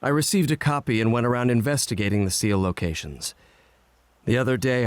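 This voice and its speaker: A man playing a stern, composed ninja father in an anime game cutscene, speaking in a measured, matter-of-fact way. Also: on the low side, slight background noise, lightly acted. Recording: background music under the dialogue.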